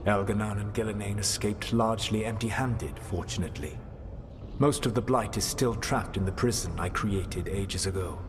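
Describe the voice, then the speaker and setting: Man playing a solemn, low-voiced ancient elven god. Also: background noise, lightly acted. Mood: solemn explaining. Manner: formal, measured, solemn. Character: ancient elven god, grave, regretful, learned. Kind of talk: dramatic video game dialogue.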